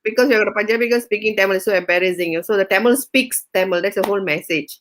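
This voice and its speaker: A woman's voice: medium pitch, very fast, fairly bright tone, a little hiss or hum. A woman who works as a radio presenter, chatting in a bubbly way with frequent laughter. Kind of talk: casual video-call chat.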